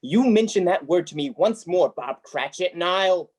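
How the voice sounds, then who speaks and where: An actor playing a grim, irritable old miser in a radio play, barking and scornful in manner. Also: male voice, high-pitched, expressive, moderate pace, a little mellow. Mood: scornful, angry.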